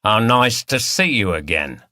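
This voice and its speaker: A man's voice: lowish voice, big pitch swings, unhurried, sharp tone, no room sound, full character voice. A man voicing a ruthless bank robber who is mockingly polite and threatening in a mystery audiobook. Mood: coolly menacing. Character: ruthless, menacing male bank robber villain with shotgun.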